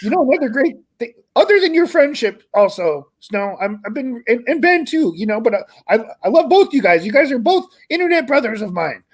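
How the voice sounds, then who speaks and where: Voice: mid-range voice, big pitch swings, brisk, few pauses. A performer voicing a sentimental, hard-living, boastful frog puppet in rambling, improvised livestream chat. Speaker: co-host of an online puppet comedy show.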